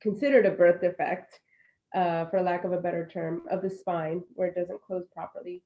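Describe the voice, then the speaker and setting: A woman's voice: fairly low pitch, steady tone, moderate pace, highs cut off. A woman in her thirties, born in Puerto Rico and raised in Florida, speaking earnestly and reflectively as a panelist over a video call. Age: 30s. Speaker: panelist, alumna. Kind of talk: casual panel talk.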